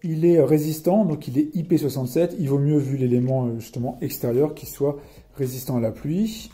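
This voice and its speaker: French guy doing a laid back product review, really mellow dark voice, explains specs as he goes. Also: medium pitch, normal speed. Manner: explanatory, reading specs aloud with commentary. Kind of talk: casual product walkthrough.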